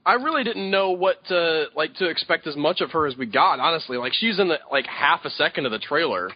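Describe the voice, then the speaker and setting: A man's voice: a little high, animated, brisk, dry recording, dull audio. A man co-hosting a podcast, chatting casually with a wry, teasing manner. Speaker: podcast co-host. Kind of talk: casual chat.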